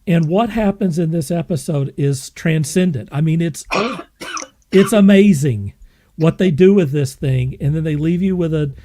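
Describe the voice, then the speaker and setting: A man hosting a podcast, reviewing things in an enthusiastic, casual way, emphatic and effusive with halting repetition. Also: a little high, noisy background. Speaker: podcast host.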